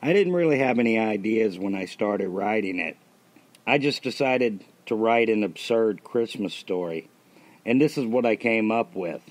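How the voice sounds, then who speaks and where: A man reads a story aloud in a fairly low voice, with long reverb trailing his words. He sounds like a host narrating his own writing. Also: expressive, normal speed. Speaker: host reading own stories. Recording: long reverberant hall-like echo.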